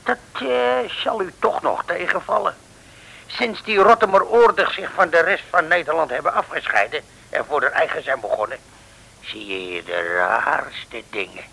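An actor playing a simple, chatty lighthouse keeper in an old comic radio serial, telling things in an earnest, folksy way. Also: male voice, on the high side, moderate pace, gravelly, pushed and bright, poor audio. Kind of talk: 1960s Dutch comic radio serial acting. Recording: hissy old radio recording.

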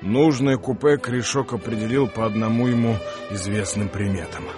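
A man's voice: on the low side, steady tone, normal speed. Man narrating radio drama, music underneath.

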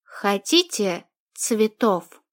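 A woman voicing a cold, aloof man of power in an audiobook, speaking in a detached, unhurried way. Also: mid-range voice, sing-song, fairly bright tone, exaggerated voice. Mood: cool.

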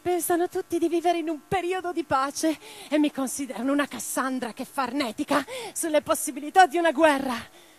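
A woman's voice: high-pitched, fairly quick, lightly acted. An actress playing an impassioned middle-aged socialist revolutionary woman in a theatrical radio drama. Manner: declamatory in speeches, intimate in private moments.

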